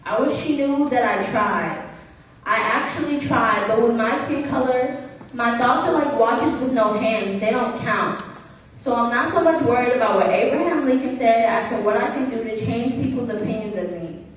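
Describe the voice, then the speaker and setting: Young woman, spoken-word recitation, slightly high pitch, rehearsed rhythm, heavy room echo. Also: normal speed, slight background noise. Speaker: student performing slam poetry. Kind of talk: slam poetry recitation with acted student dialogue. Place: large echoey room at a gala event. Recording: very echoey hall, distant mic.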